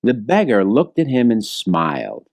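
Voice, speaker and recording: Animated male children's storyteller. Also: fairly low pitch, measured pace, vocal fry, no room sound. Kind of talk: scripted children's story narration.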